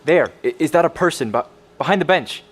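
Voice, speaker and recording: An actor playing a panicky male survivor in an audio drama, excitable and stammering. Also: on the high side, fairly quick. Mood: tense, puzzled. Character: panicky male bunker survivor gawking at monsters. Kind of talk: naturalistic audio drama acting.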